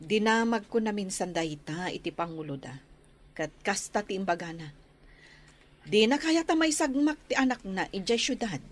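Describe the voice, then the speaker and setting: A woman's voice: medium pitch, lively intonation, moderate pace, natural voice. A woman playing a caring, practical visiting nurse in a radio drama, with a Filipino accent and chatty manner. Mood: concerned.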